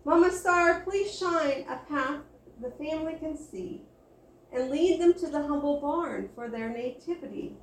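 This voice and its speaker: Woman reading a booming herald voice, proclaiming, high, slow, roomy echo. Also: far from the mic. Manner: loud, proclaiming.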